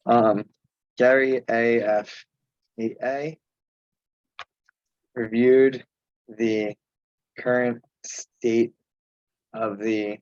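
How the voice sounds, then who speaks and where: Male meeting chair, slow and halting, informal delivery, dry but dull conference-call sound. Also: lowish voice, dry recording. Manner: halting, pausing mid-sentence. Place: remote teleconference.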